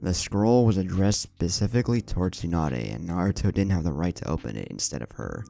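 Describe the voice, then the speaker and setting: A man narrates a scripted story in a very low, dark voice. There is a lot of fry in his tone, and faint music plays behind him. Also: expressive, brisk. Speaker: fan fiction narrator.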